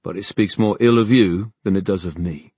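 A man's voice: low-pitched, mellow, husky, no echo. Man playing a composed, formal fairy prince. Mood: calm challenge. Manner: formal, measured. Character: upright, composed fairy prince, dignified challenger to the throne.

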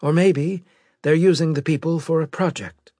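A man voicing an analytical male psychologist on a military staff, measured and speculative, in an audiobook. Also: fairly high pitch, fairly even intonation, warm tone, dry recording, studio quality, voice pushed up. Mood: thoughtful.

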